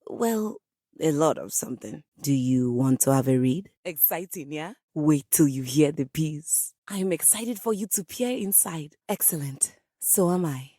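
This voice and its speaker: Haughty, theatrical living piano diva. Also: female voice, sits low, lively intonation, unhurried, mellow, no room sound, clean studio sound. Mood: expectant, poised. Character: proud, dramatic female living piano, vain musical virtuoso. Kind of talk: video game character acting.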